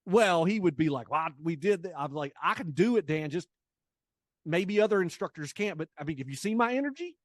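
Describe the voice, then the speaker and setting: A man in his late forties with a slightly raised pitch speaks as a coach being interviewed. His delivery is casual and energetic, acting out bits of dialogue, and the sound is dry with little room around it. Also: fairly quick, dry recording. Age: late 40s. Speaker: guest, business coach and sales trainer.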